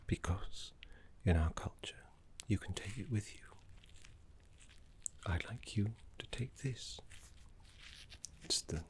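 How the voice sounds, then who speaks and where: A man playing a gentle, scholarly necromancy teacher in an ASMR roleplay, speaking in a soft, breathy whisper with courteous, soothing, measured manners. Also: on the low side. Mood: fond, gentle.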